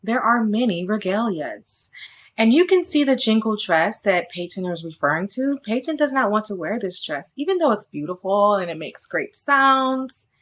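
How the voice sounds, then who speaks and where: A woman's voice: mid-range voice, very animated, moderate pace, hardly any pauses, fairly bright tone. A woman reading a children's story aloud, with friendly, casual asides that explain it. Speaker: storybook reader.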